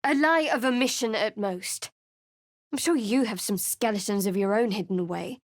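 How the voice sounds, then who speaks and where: A woman's voice: mid-range voice, normal speed, no echo, lightly acted. An actress playing a guarded, sardonic young woman cleric in a studio game, in a dry, cutting manner, also narrating. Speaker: game character.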